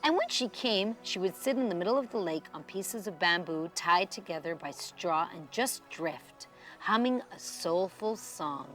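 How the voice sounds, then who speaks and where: Animated woman reading a children's storybook. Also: fairly low pitch, a little slow, soft background music. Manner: animated storyteller, stretches key words. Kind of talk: expressive scripted storybook narration for children.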